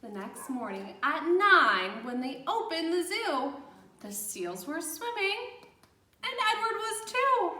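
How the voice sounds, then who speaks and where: A woman's voice: very high voice, sharp tone. A woman reading a picture book aloud to young children as a teacher, expressively, explaining as she goes and gasping for effect. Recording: echoey room, distant microphone.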